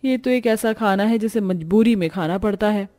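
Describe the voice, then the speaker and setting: A woman's voice: medium pitch, moderate pace, mellow, close to an everyday voice. Woman playing a caring, worried young wife. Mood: doubtful. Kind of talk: cartoon story character dialogue.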